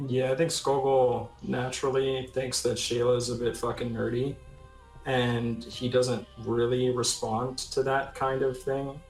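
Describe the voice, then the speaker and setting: Man with roomy, fairly even voice. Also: mid-range voice. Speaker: tabletop role-playing player. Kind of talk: casual improvised character discussion. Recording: roomy echo on the voice. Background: faint music.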